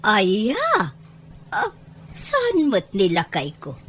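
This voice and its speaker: A woman's voice: medium pitch, wildly swooping pitch, very slow, fairly bright tone, husky, full character voice. A woman with an Ilocano Filipino accent acting a happy, boastful older mother in a homespun audio drama. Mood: proud, gushing. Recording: faint background noise.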